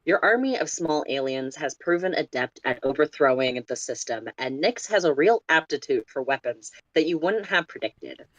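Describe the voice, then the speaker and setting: Story narrator, mid-range voice, expressive read-aloud delivery, dry sound. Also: medium pitch, moderate pace, hardly any pauses, no room sound, highs cut off. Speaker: story reader. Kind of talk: expressive fan fiction narration.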